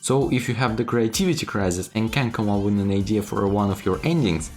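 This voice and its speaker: A man's voice: fairly low pitch, fairly quick, a little mellow, soft background music. A man narrating a tongue-in-cheek video tutorial in a playful, mock-serious, emphatic way. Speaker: video creator giving sarcastic game-making tips.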